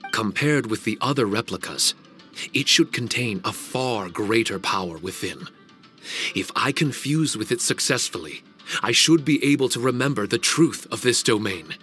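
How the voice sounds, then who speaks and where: A man voicing an ancient male guardian spirit in a video game cutscene, formal, measured and grave. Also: mid-range voice, animated, fairly bright tone, faint music behind, lightly acted. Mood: solemn.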